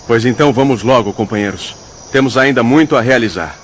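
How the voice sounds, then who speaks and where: An actor playing a calm, decisive spirit leader in a radio drama, speaking in a measured, formal way. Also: male voice, mid-range voice, a little hiss or hum, lightly acted. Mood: calm, purposeful.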